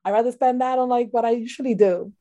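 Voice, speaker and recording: A woman's voice: fairly high pitch, quick pace, no echo, highs cut off. Giggly woman with a Dominican accent. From Dominican Republic. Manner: giggly, animated storytelling with many fillers. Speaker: guest, boudoir photographer. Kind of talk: conversational interview.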